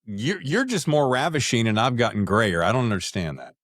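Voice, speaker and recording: A middle-aged American man speaks as a podcast host. His delivery swings widely in pitch, with a playful, theatrical streak as he moves between introductions and chat. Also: very animated, brisk, a bit bright. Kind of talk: show introduction and interview chat.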